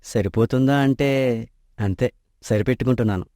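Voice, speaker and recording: An actor voicing an honest, modest young accountant in an animated story, speaking politely and deferentially. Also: male voice, mid-range voice, moderate pace, no room sound, close to an everyday voice. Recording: dry close studio mic.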